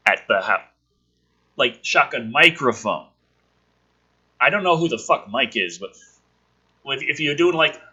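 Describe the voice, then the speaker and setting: A man's voice: mid-range voice, bright, forceful tone, breathy edge. A man sarcastically reading through a film script and commenting on it in a profane, mocking, theatrical way. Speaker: host of a script review show.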